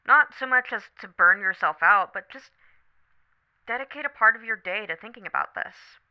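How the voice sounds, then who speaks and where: A woman playing a supportive, candid best friend on a late-night call in an ASMR roleplay, talking casually, gently and thoughtfully. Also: medium pitch, expressive, moderate pace, smooth, muffled recording, lightly acted. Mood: reassuring. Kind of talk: scripted ASMR roleplay, one side of a phone conversation.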